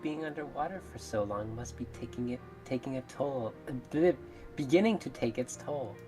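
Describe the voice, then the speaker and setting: A woman voicing a mermaid in a visual novel, measured, formal and coolly reasonable, politely menacing. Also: extremely low pitch. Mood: gently solicitous. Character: calm, menacing female mermaid, politely murderous. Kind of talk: visual novel character read-aloud. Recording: music behind the voice.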